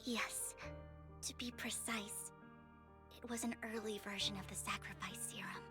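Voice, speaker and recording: An actress playing a gentle, sorrowful young woman who speaks softly and politely in a video game. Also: medium pitch, fairly even intonation, normal speed, over music, lightly acted. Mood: sorrowful, earnest. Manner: soft, earnest, formal address.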